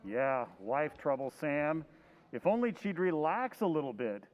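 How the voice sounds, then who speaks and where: Guy doing a henpecked husband, chatty and curious, voice bouncing high, kinda dull echoey sound. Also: big pitch swings, a little slow. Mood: weary. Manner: chatty, easily amused, curious. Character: harried henpecked husband, middle-aged man. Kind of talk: old-time radio drama acting.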